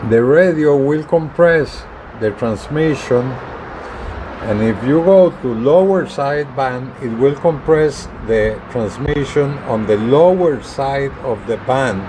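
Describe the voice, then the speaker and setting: A man giving a casual how-to explanation to camera, speaking in halting, deliberate phrases with pauses mid-sentence. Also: medium pitch, a little mellow, slight background noise, poor audio. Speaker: vlogger, hobbyist radio user and truck driver.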